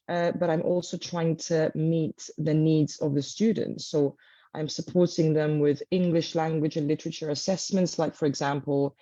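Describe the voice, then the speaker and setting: A woman from Cyprus, a guest teacher, talking in an interview with many pauses and fillers. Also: low voice, dark tone, dry recording, highs cut off. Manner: thoughtful, many ums and uhs. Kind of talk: interview conversation.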